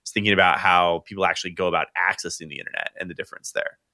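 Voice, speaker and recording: A man's voice: deep voice, steady tone, rapid-fire, bright, forceful tone, dry recording. A man hosting a podcast, reflecting and telling a story with frequent fillers. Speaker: podcast host. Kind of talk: conversational podcast interview.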